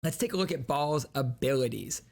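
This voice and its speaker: A man's voice: mid-range voice, expressive, brisk, creaky, a little mellow. A man hosting a gaming video, giving casual, enthusiastic reaction commentary in slangy language.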